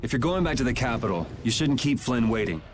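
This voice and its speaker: A man's voice: mid-range voice, very animated, quick pace, close to an everyday voice. A man playing a cocky, laid-back young rebel swordsman in an anime video game. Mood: resolved, casual.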